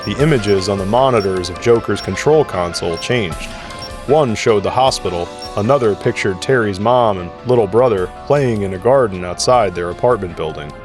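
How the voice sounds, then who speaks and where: A man's voice: lowish voice, moderate pace, over music. A man narrating an audio drama in a scripted storytelling style.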